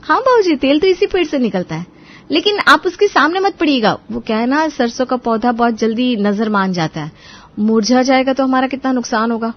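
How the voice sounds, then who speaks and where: Female narrator as sharp-tongued young bahu: high voice, sarcastic, scheming, emphatic, slightly dull cartoon-story audio. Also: lively intonation, fairly quick. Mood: sly, coaxing. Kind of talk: animated Hindi moral story dialogue.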